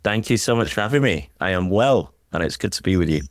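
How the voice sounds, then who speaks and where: A man's voice: deep voice, animated, fast talker, dry recording, clean studio sound. A man answering as an interview guest, speaking in an earnest, reflective way. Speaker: sports chaplain, podcast guest.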